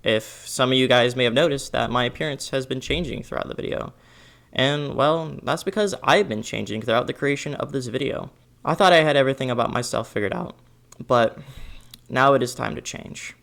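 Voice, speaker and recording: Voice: medium pitch, a little fast, vocal fry, fairly bright tone. A young adult narrating a scripted video essay in an earnest, emphatic way.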